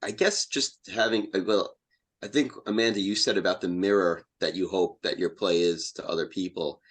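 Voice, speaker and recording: A man's voice: low voice, normal speed, a bit bright, slightly rough. A man speaking as an interview guest in a casual, rambling and enthusiastic way. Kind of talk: casual chat.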